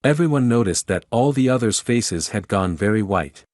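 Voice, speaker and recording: Man narrating a kids storybook, lowish voice, very dry close sound. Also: animated, normal speed, darkish tone, no echo.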